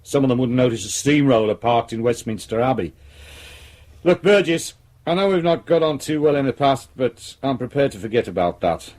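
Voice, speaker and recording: A male actor plays a senior police detective who runs the case and lets everyone know it. He is brusque and commanding, with an ordinary mid-pitched male voice and a threatening edge, in radio drama style. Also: animated, moderate pace, darkish tone, natural voice. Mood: brisk, businesslike.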